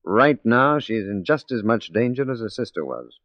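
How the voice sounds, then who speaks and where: Low-voiced resolute 1940s radio detective played by an actor. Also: male voice, lively intonation, normal speed, dry recording, close to an everyday voice. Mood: resolute, grave. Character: resolute, righteous male detective working undercover as a secretary. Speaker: detective lead in a radio drama. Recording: muffled 1940s radio recording.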